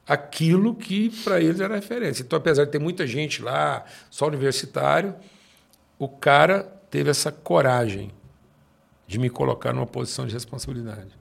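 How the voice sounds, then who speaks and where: An older man with a Brazilian accent, speaking a little higher than most men, with a gravelly, husky voice. He is a pastor recounting his life in an unhurried talk, and he leans hard on key phrases, drawing them out for emphasis. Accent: Brazilian Portuguese. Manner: emphatic, slows down to stress points. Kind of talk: personal storytelling in conversation.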